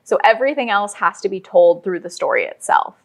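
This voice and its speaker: A woman talks as a guest in a podcast interview. Her voice sits at a middle pitch for a woman. She explains things in a conversational, illustrative way. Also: expressive, a little fast. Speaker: interview guest, audio drama writer.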